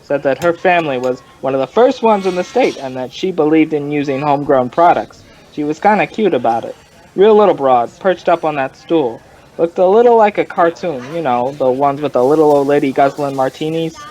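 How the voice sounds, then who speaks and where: An actor playing a folksy, rambling male informant who tells his story in colloquial, drawn-out fashion, in old-time radio crime drama style. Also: a little high, lively intonation, a little mellow, close to an everyday voice, poor audio. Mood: chatty.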